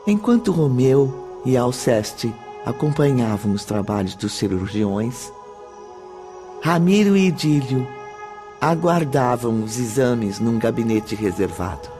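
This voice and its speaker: A man's voice: medium pitch, expressive, takes it slowly, dark tone. A man with a Brazilian accent narrating a radio drama in a solemn, formal storytelling manner. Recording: background music under the voice.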